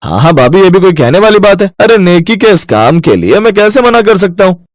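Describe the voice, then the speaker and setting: A man voicing a greedy, dishonest milk-delivery man with a glib, scheming manner in an animated moral story. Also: high voice, a little fast, slight background noise. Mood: falsely helpful.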